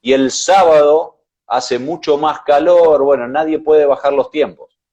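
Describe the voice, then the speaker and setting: A man with an Argentine accent, co-hosting a casual motorsport chat, talking in a measured way with hesitations. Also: a little high, warm tone, muffled recording. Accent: Argentine Spanish. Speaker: co-host.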